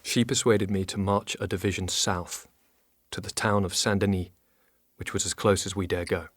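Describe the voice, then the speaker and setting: Książę-dowódca o niskim głosie. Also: male voice, sits low, steady tone, normal speed. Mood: matter-of-fact. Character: loyal French duke and army commander. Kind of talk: historical audio drama acting.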